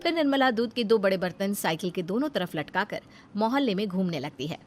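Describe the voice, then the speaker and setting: A woman's voice: medium pitch, lively intonation, brisk, soft background music. A woman reading scripted narration for a cartoon family story as its storyteller.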